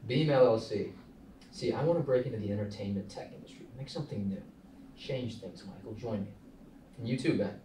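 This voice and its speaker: Guy acting as cocky young founder pitching hard, lowish voice, echoey room mic far off. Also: fairly quick, warm tone, natural voice. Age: young adult. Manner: insistent, preachy salesman delivery. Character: brash, persuasive young male startup founder. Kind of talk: table read of a film script. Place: small meeting room, indoors. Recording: roomy, distant mic, faint noise.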